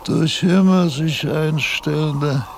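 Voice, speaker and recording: An actor playing a frail aged philosopher, laboriously dictating abstract thoughts in broken phrases with long pauses, in a radio drama. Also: male voice, on the high side, steady tone, gravelly, slight background noise. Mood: laboured, insistent. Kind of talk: slow, halting radio-drama monologue.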